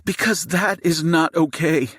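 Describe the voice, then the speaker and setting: A man's voice: fairly high pitch, a little slow, fairly bright tone, slightly rough, dry recording, studio quality, voice pushed up. A man voicing a furious, defiant mother in an audiobook, seething and confrontational. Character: furious, fierce, defiant mother held at gunpoint. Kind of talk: audiobook character acting.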